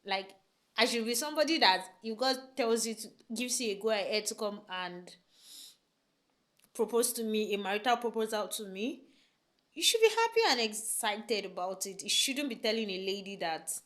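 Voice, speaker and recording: A woman's voice: medium pitch, lively intonation, moderate pace, a bit bright. Chatty woman vlogger, emphatic. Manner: chatty, emphatic, many rhetorical questions and "right?" tags. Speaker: vlogger giving relationship advice. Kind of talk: casual talk to camera.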